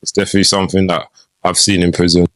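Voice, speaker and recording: Man, deep voice, unhurried and halting, casual podcast guest. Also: no room sound. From London, England. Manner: relaxed, hesitant, frequent ums and likes. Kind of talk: casual conversation.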